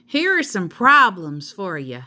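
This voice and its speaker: A woman voicing a cheerful, bossy, literal-minded substitute teacher from a children's storybook, with bright, emphatic, drawn-out delivery. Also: medium pitch, huge pitch swings, full character voice. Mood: brisk, encouraging. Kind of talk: children's storybook read-aloud.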